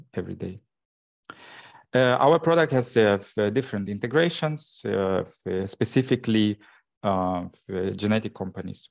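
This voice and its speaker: A low-voiced man presents a product in English, pausing often on fillers and restarting his phrases. His voice has a gravelly fry to it. The recording sounds dull and lo-fi. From Ukraine. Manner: hesitant, many fillers and false starts. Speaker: software sales and technical support presenter. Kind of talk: presentation at an info day.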